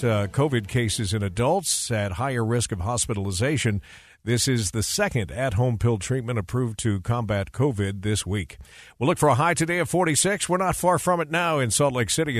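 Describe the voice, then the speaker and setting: An American man anchors a scripted radio news bulletin. His voice sits at a middle pitch over a faint music bed.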